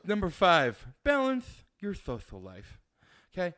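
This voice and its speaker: A young man with a fairly high voice that swings widely as he banters on a podcast. He reads ad copy and tips with a joking lisp, ready to break into laughter. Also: wildly swooping pitch, unhurried, pushed and bright. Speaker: podcast co-host. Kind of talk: casual comedic chat.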